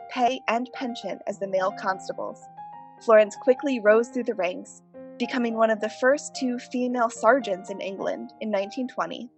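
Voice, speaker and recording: A woman reading scripted documentary narration in a clear, informative way. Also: fairly high pitch. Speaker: playwright. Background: music.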